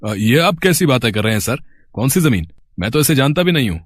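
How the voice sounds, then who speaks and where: Man playing a glib, lively village landowner. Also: medium pitch, brisk, no echo. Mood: indignant. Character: smooth-talking, two-faced village landowner. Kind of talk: acted cartoon story dialogue.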